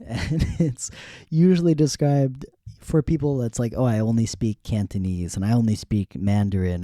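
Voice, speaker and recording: A young man with a lowish, mellow voice, telling a story at an easy, rambling pace. He laughs now and then between thoughts. The sound is close and dry, with no room around it. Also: no echo. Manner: relaxed, rambling, laughs often. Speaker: podcast host. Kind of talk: casual storytelling.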